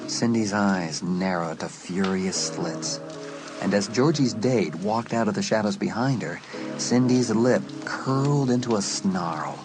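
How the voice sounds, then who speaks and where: A man's voice: on the low side, measured pace, low-quality recording. A man reading scripted fiction as a dramatic audiobook narrator. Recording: music under the voice. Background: music.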